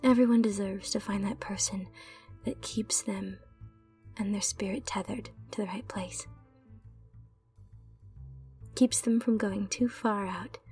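A woman playing a mysterious, comforting bartender in a soft-spoken ASMR roleplay, with a breathy, whispery voice and a soothing, reassuring manner. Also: mid-range voice, fairly even intonation, far from the mic, soft background music, natural voice. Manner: slow, soothing, reassuring delivery.

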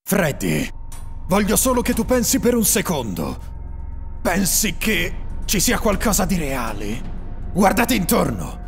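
A man voicing a scheming, taunting animatronic bear villain in a cartoon parody dub, with a theatrical gravelly delivery. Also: high-pitched, huge pitch swings, a little slow, long pauses, faint music behind, heavily acted. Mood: exasperated ranting.

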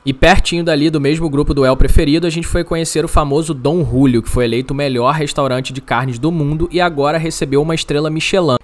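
A man's voice: fairly high pitch, steady tone, fast talker, few pauses. A man narrating a travel vlog, giving casual, upbeat restaurant recommendations. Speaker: travel vlogger.

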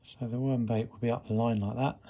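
A man's voice: medium pitch, little pitch movement, a little fast, mellow. A man, talking casually and instructively as a fishing vlogger. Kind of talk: casual instructional vlog talk. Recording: clear background ambient noise.

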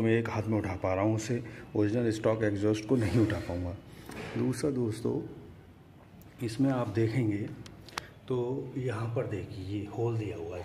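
Man, mellow tone, casual vlog explaining, phone recording at home, faint background noise. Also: fairly low pitch, creaky. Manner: conversational, hands-on explaining while demonstrating. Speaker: motorcycle vlogger. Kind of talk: casual product comparison vlog. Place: at home by marble staircase.

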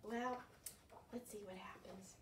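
An older woman leading an interactive storytime for young children as a children's librarian, speaking in an animated way with exaggerated questions. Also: medium pitch, takes it slowly. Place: carpeted living room at home. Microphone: camera across the room. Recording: roomy, distant mic with some background noise.

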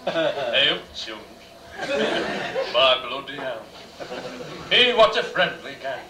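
A brash pantomime father with a broad Northern English accent, acted by a man. He delivers rhymed punchlines slowly and with big swings in pitch. The recording is distant, echoey and very noisy, from a small bar stage. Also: big pitch swings, crowd noise. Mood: brash, jokey. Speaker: amateur pantomime performer. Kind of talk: rhymed pantomime comedy monologue. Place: small indoor bar stage, far camera.

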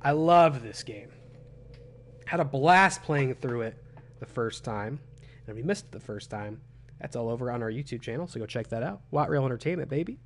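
A young man with a cold, his voice raspy and phlegmy, chatting casually as a gaming streamer from a small room at home. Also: mid-range voice, animated, normal speed. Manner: chatty, self-deprecating. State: hoarse from a cold.

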